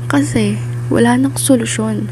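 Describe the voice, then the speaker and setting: A woman's voice: on the high side, fairly even intonation, unhurried, dark tone, a little hiss or hum, lightly acted. A young woman playing a distraught, shamed schoolgirl in an amateur radio drama. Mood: despairing. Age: young adult. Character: distraught, shamed orphan schoolgirl victim of gossip.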